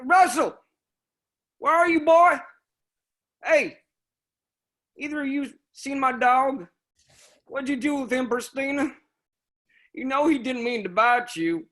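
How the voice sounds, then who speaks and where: A man voicing a distraught middle-aged town drunk who shouts for his lost dog in a table read. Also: extremely high pitch, slow pace, long pauses, voice pushed up, heavily acted. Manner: shouting, slurred pleading.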